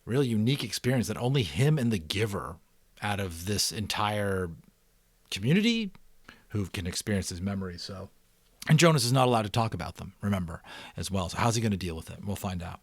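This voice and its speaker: A man with a fairly low voice reads a novel aloud as a dramatic storyteller. He leans on key words with deliberate emphasis and slips into casual commentary for his listeners. The sound is dry, with very little room in it. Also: expressive, husky, no room sound. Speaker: audiobook reader and channel host.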